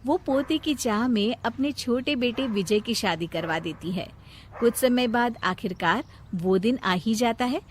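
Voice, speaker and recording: A woman's voice: on the high side, slight background noise. A woman narrating a scripted moral story.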